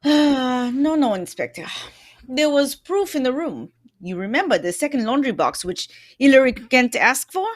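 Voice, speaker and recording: Brief: woman as courteous, self-assured male sleuth, high voice, theatrical radio-play delivery, close dry sound. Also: big pitch swings, normal speed, dry recording. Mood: explaining, triumphant. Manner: theatrical, explanatory, punctuated with "eh?". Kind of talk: old-time radio mystery acting.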